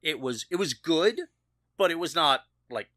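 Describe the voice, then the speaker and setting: A man co-hosting a podcast in casual discussion, often halting and qualifying what he says. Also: on the high side, lively intonation, a bit bright, dry recording, studio quality. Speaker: podcast co-host.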